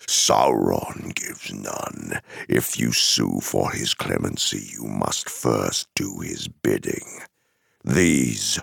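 A man voicing a haughty, menacing messenger of a dark lord in a fantasy story, slow and imperious, with a gravelly rasp. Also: extremely low pitch, dry recording, clean studio sound, pitched below the performer's own voice, exaggerated voice. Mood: cold contempt.

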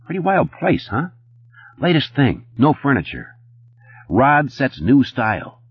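A man's voice: medium pitch, wildly swooping pitch, a little slow, husky, no echo, lo-fi sound, voice pushed up, heavily acted. A man voicing a manic, jittery Japanese hustler who talks in chatty, clipped broken English. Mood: manic, jovial.

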